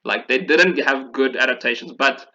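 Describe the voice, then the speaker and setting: A man's voice: mid-range voice, normal speed, pushed and bright, highs cut off. A man chatting casually and enthusiastically about video games in an informal way, as a podcast host.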